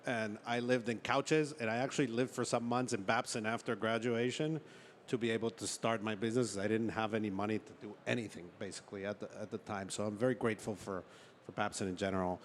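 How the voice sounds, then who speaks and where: Low-voiced man from Ecuador, informal and halting. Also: fairly even intonation. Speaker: startup founder and chief executive, interview guest. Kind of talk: conversational storytelling in an on-stage interview. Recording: noticeable background noise, room ambience.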